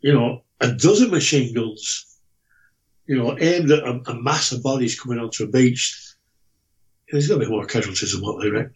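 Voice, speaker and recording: A man's voice: medium pitch, moderate pace. A man chatting casually in a hesitant, rambling way, telling anecdotes as a regular on a talk show. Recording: dull lo-fi voice connection.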